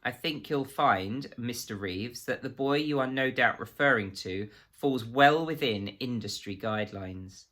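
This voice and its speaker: Man putting on an oily, nervous bluffing tradesman, bright-edged. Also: mid-range voice, measured pace, hardly any pauses. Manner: blustering, faltering, stammering when pressed.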